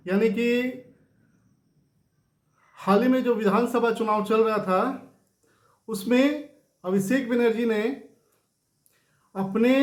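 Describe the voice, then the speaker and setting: A man's voice: sits high, darkish tone, reverberant. A man hosting an informal political news commentary, explaining things step by step with rhetorical questions. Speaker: YouTube news show host.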